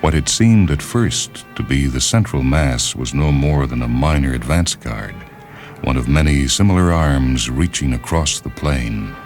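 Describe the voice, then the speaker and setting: A man reading literary fiction as a narrator, in a measured, deliberate and solemn dramatic style. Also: very deep voice, animated. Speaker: narrator, short story reader. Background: background music.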